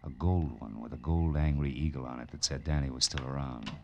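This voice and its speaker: A man's voice: a bass voice, fairly quick, gravelly, highs cut off, background noise. A man playing a tough, wry private detective in a terse, dry, hardboiled 1940s radio drama style. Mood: wry narration. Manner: terse, dry, world-weary. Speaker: lead actor and narrator.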